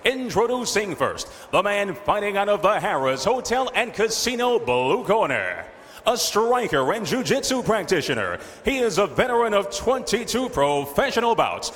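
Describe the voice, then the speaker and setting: A man announces fighters in a formal, showy ring style, drawing names out grandly. His voice sits high and swings widely in pitch, ringing through a long hall reverb. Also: fairly bright tone. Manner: showy, drawn-out delivery of names. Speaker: ring announcer at an MMA event. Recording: long echoing arena reverb.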